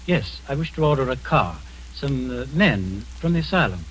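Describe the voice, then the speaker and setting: A composed man in an old radio play claims to be a doctor and speaks with calm, clinical authority, as an actor voices him. His delivery is measured yet lively in pitch, with faint hiss under the vintage recording. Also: close to an everyday voice, rough recording. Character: composed middle-aged man posing as a doctor. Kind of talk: 1940s radio acting.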